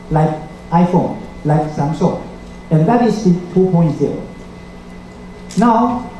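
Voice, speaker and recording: A middle-aged man from Taiwan, speaking English, gives a seminar lecture in a measured way, with small grammar slips. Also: sits high, warm tone, slight background noise. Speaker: guest lecturer. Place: lecture hall. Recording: echoey hall, mic heard from a distance.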